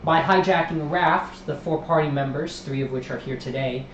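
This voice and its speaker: A man hosting a live tabletop role-playing stream as game master, moving from an upbeat welcome into a steady recap of the story. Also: a little high, normal speed, echoey room, dull audio, noisy background. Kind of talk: livestream tabletop game narration.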